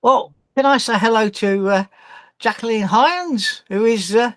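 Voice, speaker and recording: A woman's voice: on the high side, a little slow, a bit bright, dry recording, clean studio sound. A woman co-hosting an online show in casual chat, with a wry, grumbling manner.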